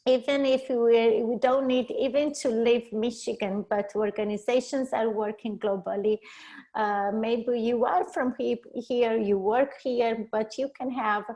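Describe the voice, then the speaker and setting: Slow Brazilian-accented woman presenting. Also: on the high side, fairly even intonation, dark tone, poor audio. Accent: Brazilian Portuguese. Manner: halting, restarts words and self-corrects. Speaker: leadership coach presenting a webinar. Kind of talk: webinar presentation.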